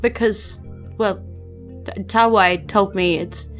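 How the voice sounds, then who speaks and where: A woman's voice: medium pitch, steady tone, fairly bright tone. Woman playing a hesitant, troubled New Zealand farmer over music. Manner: halting, hesitant, stammering. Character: anxious, troubled farmer trapped by a secret agreement. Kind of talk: improvised tabletop role-play. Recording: music bed under the voice.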